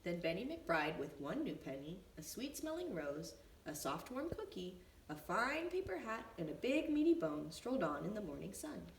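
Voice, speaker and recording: Very animated woman reading to young children. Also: mid-range voice. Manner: expressive, deliberate phrasing. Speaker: preschool teacher reading a picture book. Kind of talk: storybook read-aloud for young children. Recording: echoey room, distant mic, faint noise.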